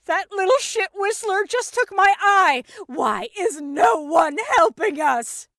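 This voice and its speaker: A woman acting a shrill, foul-mouthed, panicking woman. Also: lively intonation, slow pace, fairly bright tone, dry recording, clean studio sound, heavily acted. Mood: screaming outrage. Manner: melodramatic, profane, indignant. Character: Gloria, foul-mouthed, panicked, screaming woman under demonic attack. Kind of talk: audio fiction acting.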